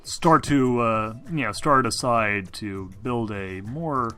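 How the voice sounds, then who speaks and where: A man playing a conciliatory, flattering company representative in a tabletop game, speaking in a halting, diplomatic way. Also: medium pitch, clean tone, soft background music. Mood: conciliatory, ingratiating. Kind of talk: in-character tabletop roleplay.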